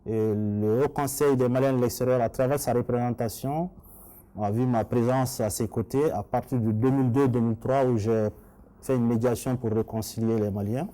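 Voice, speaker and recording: A man's voice: medium pitch, fairly even intonation, normal speed, warm tone. A middle-aged man from Mali with a Malian accent, giving earnest, formal answers as a political candidate in an interview. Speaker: election candidate being interviewed.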